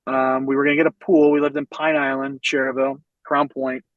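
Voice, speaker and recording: A man in his forties from the American Midwest, a podcast guest telling family stories in an animated, loose way, swearing freely and laughing as he talks. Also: mid-range voice, brisk, no room sound, phone-quality sound. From United States, Northwest Indiana. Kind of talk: casual storytelling conversation.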